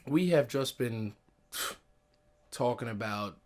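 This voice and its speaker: A young man hosting a casual podcast chat, excitable and swearing freely, often turning to speak straight to his listeners. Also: medium pitch, slow pace. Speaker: podcast host.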